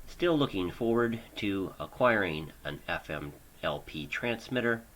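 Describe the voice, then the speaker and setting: An American man hosting an amateur radio show, narrating in deliberate, drawn-out phrases with pauses, recorded at home on a hobbyist microphone. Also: deep voice, expressive, fairly bright tone, a little hiss or hum. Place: home studio. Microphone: older hobbyist microphone.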